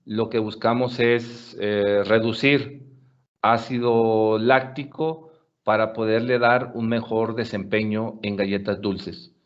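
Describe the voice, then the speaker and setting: Slow, measured male webinar presenter. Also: fairly low pitch, flat delivery, dull audio. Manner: measured, with frequent filler pauses. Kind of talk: explaining answers to audience questions.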